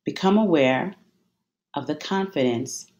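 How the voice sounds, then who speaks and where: A meditation guide giving soothing, measured instructions with deliberate pauses between phrases. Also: mid-range voice, expressive, darkish tone.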